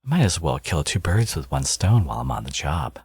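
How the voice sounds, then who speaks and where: A man playing a smug, teasing supervillain and doting new father in an intimate ASMR audio roleplay, murmuring playfully and cockily in a breathy, gravelly voice. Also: extremely low pitch, very animated, brisk, darkish tone, no room sound, studio quality, heavily acted. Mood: teasing, reassuring. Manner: playful, cocky, murmuring to a lover.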